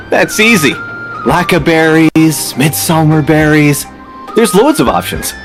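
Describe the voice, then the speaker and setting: A woman's voice: sits low, big pitch swings, slow, over music, exaggerated voice. A woman voicing a laid-back listener at an adventuring lesson in a video game, speaking casually. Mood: confident. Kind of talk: video game character acting.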